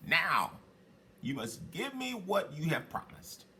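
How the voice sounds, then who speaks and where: A man's voice: a little high, very animated, takes it slowly, pushed and bright, pitched above the performer's own voice, full character voice. Guy doing a sly little magic man from a storybook, pushy bargainer, voice swoops around, slight noise. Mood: firm, demanding.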